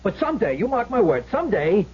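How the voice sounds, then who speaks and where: An actor playing a cocky, whiny out-of-work husband who helps with a swindle in a vintage radio drama. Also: male voice, sits high, big pitch swings, fast talker, husky, heavily acted. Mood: defensive, cocky. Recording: muffled old radio recording, long reverb.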